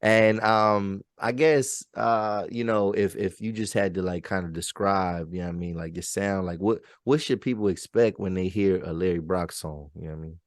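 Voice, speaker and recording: A man's voice: low voice, steady tone, moderate pace, hardly any pauses, no echo, studio quality. A man in his thirties hosting a casual interview in a slangy, affirming way, often checking in with "you know what I mean". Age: 30s. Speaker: podcast host.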